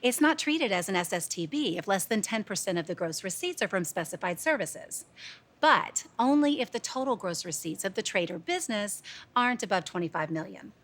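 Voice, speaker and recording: A woman playing a glamorous lounge singer who explains with brisk confidence. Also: medium pitch, expressive, a bit bright.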